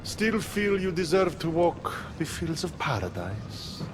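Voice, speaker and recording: Game cast: a slow, sneering tormentor, lively pitch. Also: male voice, music in the background. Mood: taunting. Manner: sneering, taunting. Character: mocking, cruel tormentor of the dead.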